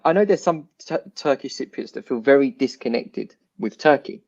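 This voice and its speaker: Man, from South London, casual discussion guest, animated, medium pitch, dull lo-fi sound. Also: normal speed. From South London, England. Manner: animated, restarts often, thoughtful.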